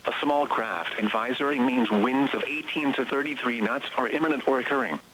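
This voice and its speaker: A man's synthetic voice reading scripted automated weather bulletins in a flat, measured way, as heard on a weather radio broadcast. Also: mid-range voice, a little hiss or hum. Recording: weather radio broadcast, slightly muffled sound.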